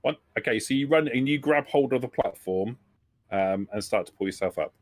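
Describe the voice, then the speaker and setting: A man's voice: medium pitch, no room sound. A man narrating a tabletop game as game master, improvising and often rephrasing as he goes. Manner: hesitant, halting, often rephrasing.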